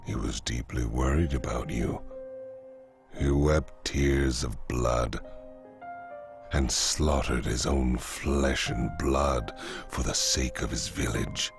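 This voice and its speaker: A grave, menacing older man in an anime game. His voice is extremely deep and gravelly, drawn out slowly and solemnly. Music plays clearly underneath. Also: fairly even intonation, full character voice. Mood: solemn, ominous. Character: grave, ominous older man revealing dark secrets. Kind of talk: anime game dub acting.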